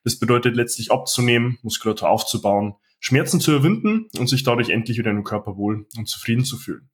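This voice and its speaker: A man's voice: medium pitch, expressive, fast. A man hosting an interview podcast as a personal trainer, asking structured, earnest questions.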